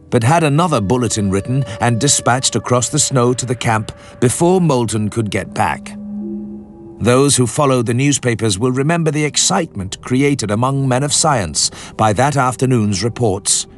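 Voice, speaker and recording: A man playing an anxious scientist recounting a doomed expedition in measured, grave, formal radio storytelling. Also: mid-range voice, natural voice. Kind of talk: dramatic literary audiobook narration for radio. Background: music under the voice.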